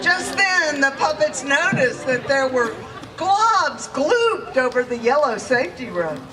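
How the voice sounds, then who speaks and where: A woman giving a playful, expressive theatrical storybook narration at a live puppet show, relishing every alliteration. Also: high voice, a little slow. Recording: distant mic, loud music, crowd noise. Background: loud music and audience.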